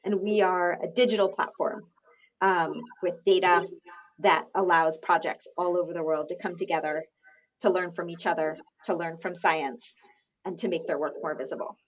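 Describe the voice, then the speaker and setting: A woman's voice: on the low side, steady tone, moderate pace, dull audio. A woman from the United States, a chief executive, giving friendly, explanatory answers as an interview guest.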